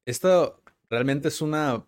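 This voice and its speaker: A man hosts a casual interview. He rambles in halting phrases and leans on fillers and tag questions. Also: fairly high pitch, darkish tone, smooth, soft background music. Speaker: podcast host.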